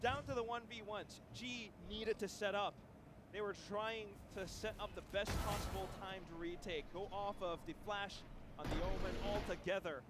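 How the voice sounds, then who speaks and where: A man's voice: high voice, steady tone, moderate pace. A man giving analytical live commentary on an esports match. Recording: very noisy game broadcast audio.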